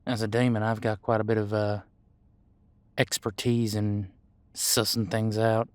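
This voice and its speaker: Demon detective male actor, audio drama: deeper voice, laid-back, reassuring partner, folksy phrasing, very dry sound. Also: flat delivery, dry recording, natural voice. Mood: confident, reassuring. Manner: folksy, casual, reassuring, hesitant pauses. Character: easygoing male demon homicide detective mentoring new partner. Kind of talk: audio roleplay drama, conversational monologue.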